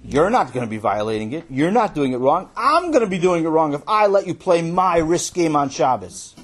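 A man voicing a possessive, stubborn young boy who protests loudly and whines in indignation. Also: fairly high pitch, sing-song, a little slow, husky, voice pushed up, exaggerated voice. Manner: loud, whiny protesting.

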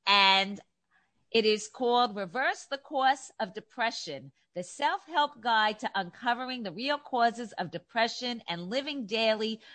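New york lady hosting, bright higher voice, upbeat. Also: expressive, a little slow, no echo. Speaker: host. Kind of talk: interview host.

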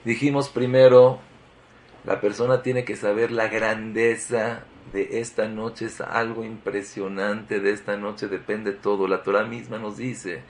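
A man's voice: fairly low pitch, level tone, a little slow, a little hiss or hum. A man giving a Torah sermon, emphatic and repetitive, full of rhetorical questions. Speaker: rabbi giving a Torah talk.

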